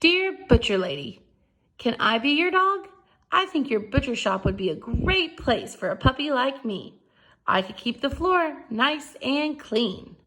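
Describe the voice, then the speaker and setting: An eager young stray dog from a storybook , acted out by a woman reader, speaking in a high voice. He is hopeful, polite and pleading, with playful cheek. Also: very animated, a little slow, bright, forceful tone, exaggerated voice, low-quality recording.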